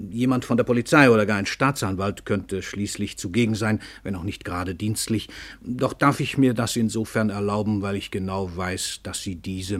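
Actor as husky, low-voiced, self-important famous novelist. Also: male voice, lightly acted. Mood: ironic, confiding. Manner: theatrical, ironic, hesitant asides to the listeners. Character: brash, dissolute, self-important world-famous male novelist. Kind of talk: radio play monologue, narrating to the audience.

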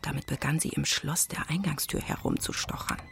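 A woman with a husky voice narrating a scripted children's radio play as storyteller. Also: low-pitched, huge pitch swings, a little fast.

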